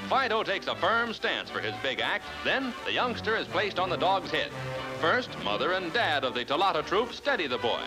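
American male 1940s newsreel announcer, high and animated, jaunty theatrical delivery, vintage soundtrack. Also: normal speed, rough recording. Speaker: newsreel narrator. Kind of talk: 1940s newsreel commentary, scripted narration. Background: loud orchestral newsreel music.

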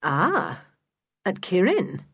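A woman plays a smooth, sinister man in a children's storybook. The voice is lowered and measured, slightly husky, probing with nosy questions. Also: huge pitch swings, a bit bright, dry recording, studio quality, heavily acted. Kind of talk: children's audiobook character acting.

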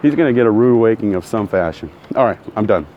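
A low-pitched man rants bluntly and heatedly, hammering his points through repetition. His throat is still recovering from a sore spell. Faint traffic hums behind him outdoors. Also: animated, fast, narrow, tinny audio. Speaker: vlogger. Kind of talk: casual ranting monologue.

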